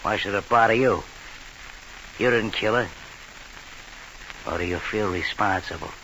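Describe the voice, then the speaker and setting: A tough nightclub boss with a low, cutting voice, needling and bullying in hard-boiled slang. It sounds like vintage radio crime drama, with clear hiss. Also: male voice, unhurried, sharp tone, poor audio. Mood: scornful, accusing. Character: tough, scowling male nightclub owner, menacing crime boss. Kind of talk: 1940s radio crime acting. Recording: hissy old radio broadcast.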